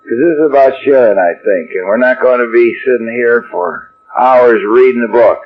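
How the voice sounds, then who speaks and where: A man's voice: mid-range voice, normal speed. Wry rambling man on dull old tape. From Chicago. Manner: wry, rambling, self-deprecating. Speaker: AA workshop speaker. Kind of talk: casual joking talk to a live audience. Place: meeting room before an audience. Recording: dull lo-fi archive tape with faint hiss.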